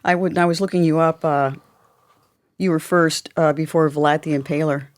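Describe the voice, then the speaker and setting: A woman with a slightly low voice hosts her podcast and asks her questions eagerly. The sound is dry and close. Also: moderate pace, no echo. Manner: enthusiastic, animated questioning. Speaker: podcast host. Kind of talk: casual interview chat.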